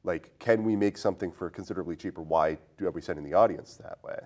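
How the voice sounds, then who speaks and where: Low-pitched man hosting a casual film discussion. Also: quick pace, warm tone. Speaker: podcast host.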